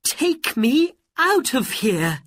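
A woman's voice: mid-range voice, wildly swooping pitch, takes it slowly, slightly rough, no echo, voice pushed up, heavily acted. A woman voicing an earnest, anxious young boy in a storybook, with plaintive, emphatic delivery in simple phrases. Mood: desperate. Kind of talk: storybook character voice.

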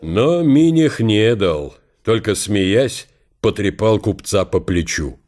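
A man's voice: on the low side, animated, slow pace, a little mellow. A man reading literary narration for an audio drama.

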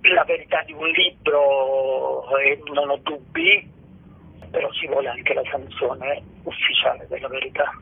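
A man speaking as an interviewed author in an earnest, halting way over the telephone. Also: mid-range voice, steady tone, vocal fry, slight background noise. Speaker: author interviewed about own book. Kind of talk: radio phone interview. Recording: muffled, narrow phone line.

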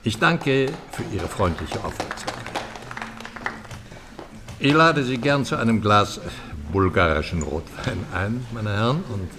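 An old lecturing professor. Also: male voice, fairly low pitch, lively intonation, music in the background. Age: older. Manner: measured, lecturing, grandly ironic. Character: older male professor emeritus, charming, bold, secretive. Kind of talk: German radio play acting.

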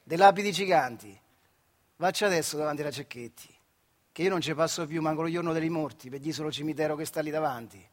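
A man's voice: a little high, fast talker. A man with a central Italian accent from the Marche tells a story as a theatrical monologue in colloquial, emphatic short phrases. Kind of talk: dramatic theatrical storytelling in dialect.

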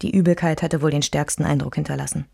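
A woman's voice: low-pitched, steady tone, quick pace, vocal fry, darkish tone, slightly rough, exaggerated voice. An actress playing a composed woman narrator, reading a literary bohemian memoir for a radio play.

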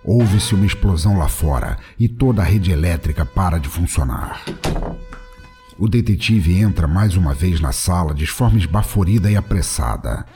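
Deep-voiced male story narrator. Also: lively intonation, normal speed, over music. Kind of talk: scripted comedic story narration.

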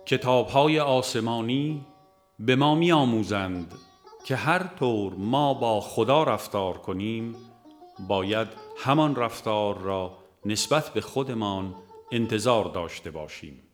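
A man reading a religious book aloud as scripted narration, in a measured, formal and solemn manner. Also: lowish voice, soft background music. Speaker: audiobook reader.

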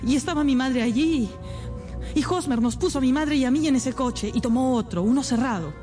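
A woman's voice: high-pitched, expressive, fairly quick, dark tone, soft background music. An actress playing an anxious, naive and devoted young woman in a radio drama, speaking earnestly with hesitant repetitions. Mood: troubled. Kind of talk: Spanish-language radio drama acting.

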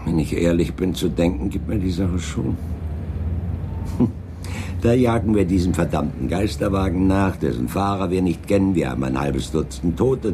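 An actor playing a dogged London police chief inspector, sardonic and insistent, in a radio crime play. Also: male voice, low voice, background noise. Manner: sardonic, insistent, dry politeness.

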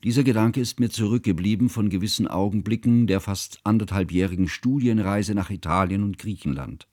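An actor playing an ageing scholarly schoolmaster who narrates a radio drama in a measured, pedantic, ornate way. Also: male voice, lowish voice, a little mellow, no room sound, studio quality, natural voice. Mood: reflective, confessional. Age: older. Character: ageing scholarly schoolmaster, humane, ceremonious, reflective. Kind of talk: literary first-person radio drama narration.

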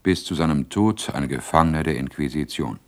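The narrator of a 1960s radio drama. His extremely low voice delivers scripted scene-setting in a sober way. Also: animated, a little fast, slight background noise.

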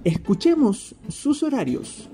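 Lively, mellow woman narrator. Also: on the high side, slow pace. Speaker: narrator of a recorded report. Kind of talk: scripted informational narration. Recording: music behind the voice. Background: faint music.

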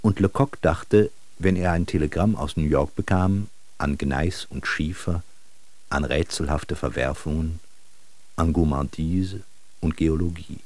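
A man's voice: a bass voice, measured pace, darkish tone. A man acting in a literary German radio drama as a wry, world-weary travelling bristle salesman, narrating in a reflective way. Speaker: narrator and lead actor.

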